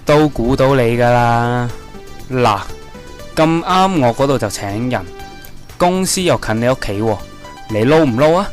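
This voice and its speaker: A man playing a slangy, excitable young friend in an amateur radio drama, with a Hong Kong Cantonese accent. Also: medium pitch, measured pace, faint music behind, natural voice. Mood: casual. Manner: casual, slangy.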